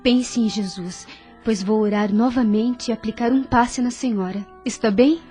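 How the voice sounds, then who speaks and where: Audio drama part: actress as a caring, devout young woman, fairly high, soft-toned and consoling, music underneath. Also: normal speed, close to an everyday voice. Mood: earnest, consoling. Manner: gentle, earnest, consoling.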